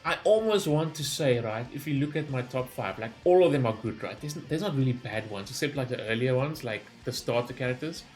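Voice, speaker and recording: A man with a mid-pitched voice hosts a casual gaming reaction video. He shares opinions in a loose, rambling and enthusiastic way. Instrumental music with plucked guitar plays behind him. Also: animated, a little fast, few pauses, darkish tone.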